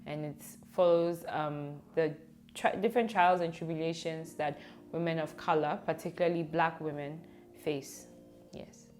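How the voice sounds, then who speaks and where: A woman's voice: low voice, fairly even intonation, unhurried, darkish tone, slight background noise. A young woman from Ghana, a student director, speaking carefully and with some hesitation in an interview.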